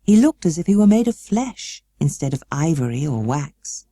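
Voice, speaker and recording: A woman with a British accent narrating a children's novel as an expressive audiobook reader. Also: low voice, moderate pace, no room sound, studio quality. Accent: British English. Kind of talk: expressive scripted storybook narration.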